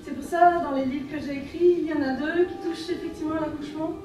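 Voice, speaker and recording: A woman from France leading a workshop, speaking in a gentle, lyrical way with many repeated phrases, in a French accent. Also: sits high, steady tone, quick pace, slight background noise. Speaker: workshop leader. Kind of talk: guided women's workshop talk. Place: workshop room at a festival. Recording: very echoey room, from far off, music behind.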